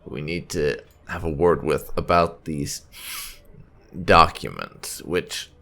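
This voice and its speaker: A man hosting a video game playthrough, explaining things in halting, unscripted commentary as he thinks aloud. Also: rumbling low, vocal fry, a bit bright. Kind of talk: unscripted let's-play commentary.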